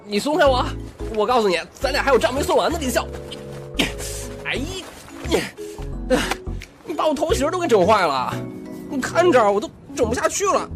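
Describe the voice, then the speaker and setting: A petulant young male foodie played by a man bickering in a comic audio drama. The pitch jumps around a lot as he whines and blusters in slang. Music plays clearly behind the voice. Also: big pitch swings, normal speed. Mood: petulant protest. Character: whiny, petulant, food-loving chubby young male friend. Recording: music loud under the voice.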